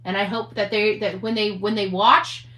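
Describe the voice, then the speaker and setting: A woman on a casual rant, speaking in a halting, repetitive, emphatic way. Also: medium pitch, little pitch movement, sharp tone. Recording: echoey room sound.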